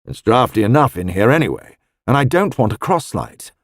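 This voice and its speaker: A man's voice: mid-range voice, very animated, fairly quick, dry recording, clean studio sound, natural voice. A man doing a snappish, irritable young painter. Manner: snappish, curt. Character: irritable young male painter, short-tempered.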